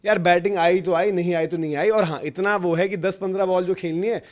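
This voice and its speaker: A man talking as host of a casual cricket debate show, in colloquial, animated speech mixed with English words. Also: sits high, a little fast. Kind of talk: casual sports debate chat.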